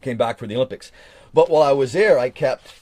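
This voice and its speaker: A man's voice: medium pitch, fast talker, darkish tone, no room sound. A man in his forties chatting casually on a live stream, reading from an old journal and rambling with frequent restarts. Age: 40s.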